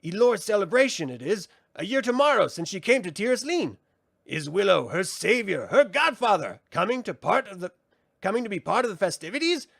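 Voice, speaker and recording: Male narrator doing high, scolding little brownie for a storybook reading. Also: big pitch swings, brisk, creaky, a bit bright, no room sound, clean studio sound, exaggerated voice. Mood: needling. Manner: scolding, pointed. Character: small mischievous male brownie, teasing and sharp-tongued.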